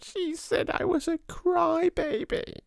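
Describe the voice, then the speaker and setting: A man's voice: very high voice, fairly even intonation, takes it slowly, vocal fry, dry recording, studio quality, pitched above the performer's own voice, exaggerated voice. A man reading a storybook, voicing a small spoiled girl who wails and sobs in a whiny, tearful voice. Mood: wailing. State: sobbing, wailing.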